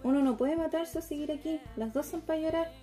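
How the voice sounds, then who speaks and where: A woman's voice: sits high, fairly quick, warm tone, soft background music, natural voice. A young Chilean woman acting a troubled, plain-spoken girl in a radio theatre piece. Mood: subdued, frank. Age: young adult. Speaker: student performer.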